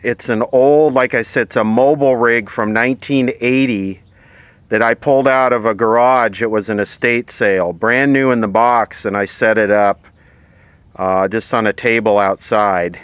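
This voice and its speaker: A man's voice: mid-range voice, steady tone, moderate pace, fairly bright tone, smooth, no echo. An American man chatting casually outdoors in a plainspoken, wry way. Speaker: vlogger testing a vintage CB radio. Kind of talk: casual chat. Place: outdoors at a table. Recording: faint background noise.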